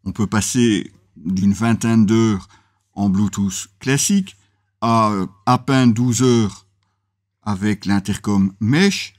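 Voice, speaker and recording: A man hosting a motorcycle gear review, explaining things in a semi-scripted way with hesitant pauses mid-phrase. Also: lowish voice, a little mellow.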